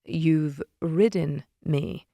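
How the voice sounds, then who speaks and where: A woman voicing a calm, possessive man of power with a dry, deadpan delivery, in an audiobook. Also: low voice, drawn-out words, creaky, no room sound, full character voice. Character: brooding, possessive ruler man, coolly dry.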